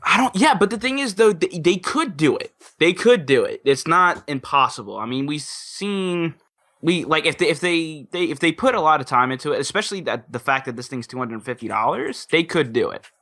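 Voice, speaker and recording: Bright-voiced man in casual podcast chat. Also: on the high side, expressive, moderate pace. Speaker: podcast panelist.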